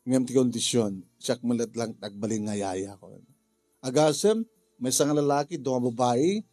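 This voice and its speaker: A man with an Ilocano Filipino accent talking casually through a drama story in a halting, rambling, jokey way. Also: mid-range voice, mellow, faint music behind. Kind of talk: casual spoken story briefing.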